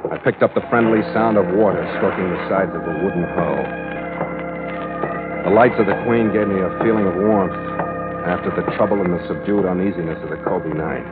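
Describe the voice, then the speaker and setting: An actor playing a tough, wry American ship's skipper in terse hard-boiled style for an old-time radio drama. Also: male voice, on the low side, lightly acted. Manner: terse, wry, matter-of-fact. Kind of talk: 1940s-50s hard-boiled radio narration and acting. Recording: tinny vintage radio recording, loud music.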